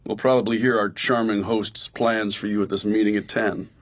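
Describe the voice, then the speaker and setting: An actor playing a wry, laconic agent with a husky voice in a radio drama. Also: male voice, fairly low pitch, steady tone, moderate pace, lightly acted. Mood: confidential, concerned. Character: wry, laconic male American agent and ally.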